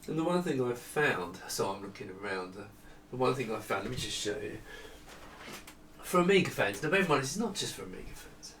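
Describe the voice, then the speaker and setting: A middle-aged man giving a casual, unscripted product walkthrough in a halting, conversational manner. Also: medium pitch, sing-song, a bit bright. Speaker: product designer presenting his monitors. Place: small home office indoors with studio lighting. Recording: echoey room, distant mic, faint noise.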